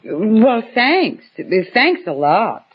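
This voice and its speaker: A woman playing a polite, caring and slightly uneasy suburban mother in an old radio drama, with a husky voice. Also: on the high side, big pitch swings, extremely slow, background noise, full character voice. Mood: indulgent. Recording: dull lo-fi old radio recording.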